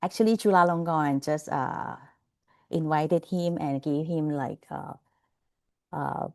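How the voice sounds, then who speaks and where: A woman's voice: low-pitched, slow pace, a little mellow. A woman giving an online class over a video call, chatty and laughing often, checking in with "okay?" and "you know". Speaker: lecturer.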